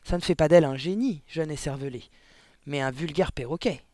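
Man playing a bellowing, high-pitched tyrant headmistress. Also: a little fast, mellow, no echo, lightly acted. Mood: outraged, bellowing. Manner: barking, scornful, emphatic. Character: huge, bullying, bellowing middle-aged headmistress, spiteful tyrant.

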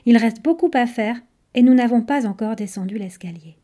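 A woman's voice: a little high, moderate pace, dark tone, close to an everyday voice. A woman voicing a tired, impatient female creature who trains a novice, grumbling, bossy and curt, in a storybook. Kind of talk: audiobook storytelling.

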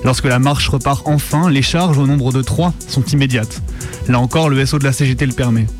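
A man's voice: mid-range voice, fairly quick, soft background music. A man hosting a radio show, informal and self-deprecating, moving between chat and reading aloud. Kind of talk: casual radio presenting and reading a statement aloud. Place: radio studio.

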